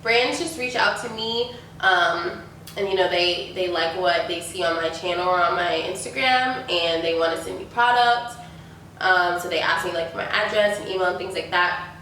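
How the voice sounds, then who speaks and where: A young woman with a stuffy, congested voice answers viewer questions in a loose, chatty way, dropping likes and ums as she goes. Her voice sits on the lower side for a woman. It rings in a very echoey room, picked up from a little way off the mic with a faint hiss behind it. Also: moderate pace, pushed and bright, distant mic. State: stuffy from a sinus infection. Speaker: beauty YouTuber. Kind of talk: casual Q&A chat.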